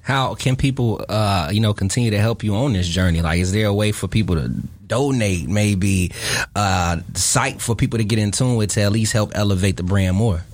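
Low-voiced man hosting. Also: moderate pace. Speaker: interview host. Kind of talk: casual interview.

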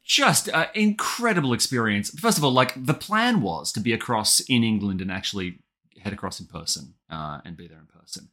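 A man's voice: lowish voice, big pitch swings, moderate pace, pushed and bright. An Australian man chatting casually as an interview guest, effusive and colloquial about his writing work. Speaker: guest, audio drama writer.

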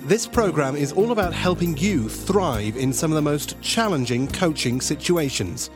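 A man's voice: on the high side, lively intonation, normal speed. A man reading a polished, welcoming scripted introduction to a coaching podcast. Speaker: podcast announcer. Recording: music behind voice.

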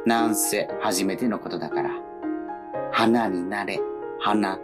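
A woman in a whimsical radio drama playing a dying withered leaf, a gently philosophical male character speaking in a theatrical, poetic manner. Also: very deep voice, slow, over loud music. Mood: resigned, encouraging.

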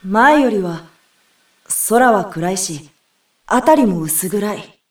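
A woman's voice: medium pitch, lively intonation, slow pace. A woman playing an earnest young girl from a spirit world in an audio drama. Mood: wistful, hushed. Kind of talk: Japanese audio drama acting and narration. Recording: roomy, distant, music behind.

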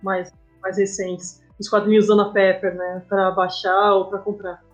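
A slightly muffled, lo-fi recording of a woman with a Brazilian accent and an average pitch. She talks casually as an interview guest, with faint music behind her. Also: level tone, a little slow. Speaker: comic artist, podcast guest. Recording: dull lo-fi sound, faint music.

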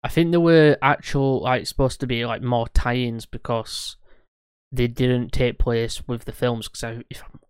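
Male co-host, eager rambler who restarts his sentences, clear tone. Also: mid-range voice, fairly even intonation, measured pace, dry recording, studio quality. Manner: eager, rambling, corrects himself mid-sentence. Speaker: podcast co-host. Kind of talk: casual podcast chat.

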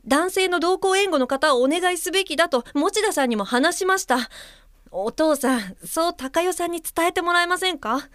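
An earnest young caregiver. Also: female voice, very high voice, lively intonation, moderate pace, a bit bright, no echo, studio quality. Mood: apologetic. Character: earnest young female guide-helper, polite and conscientious. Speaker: caregiver reading a letter aloud. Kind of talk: radio drama acting. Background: music under the voice.

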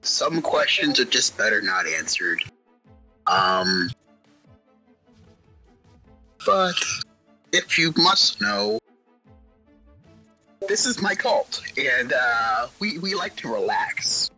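A performer playing a casual, unsettling vampire bishop in an improvised audio drama, halting and offhand, with breathy breaks into whispers. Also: mid-range voice, big pitch swings, pushed and bright, faint music behind, full character voice, rough recording. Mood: unhurried, offhand. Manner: halting, offhand, drawn-out hesitant delivery. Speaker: interviewee in roleplay. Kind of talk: unscripted in-character audio drama.

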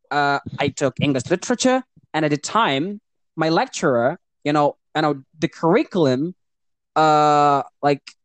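A man's voice: on the high side, expressive, takes it slowly, smooth, no echo. A young man from Indonesia chatting casually on a podcast, switching between Indonesian and English with lots of fillers and restarts. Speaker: podcast co-host. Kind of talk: casual bilingual podcast chat.

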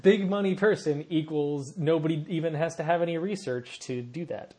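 A man's voice: fairly high pitch, normal speed, husky. A man co-hosting a casual sports podcast, his voice a little worn, laughing easily and reading out listener questions. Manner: relaxed, laughs often, reads listener questions. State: losing his voice. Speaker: podcast co-host.

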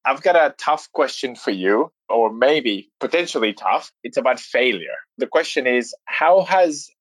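A man's voice: mid-range voice, lively intonation, a little slow, dry recording, poor audio. A man hosting an interview, asking questions and responding with upbeat enthusiasm. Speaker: interview host.

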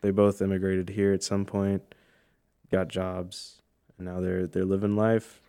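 A man's voice: sits low, completely flat delivery, measured pace, mellow, clean tone. A man in his early twenties chatting casually with a friend, asking curious questions. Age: 20s. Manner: curious, questioning, laughs easily. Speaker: friend in conversation. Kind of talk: casual chat.

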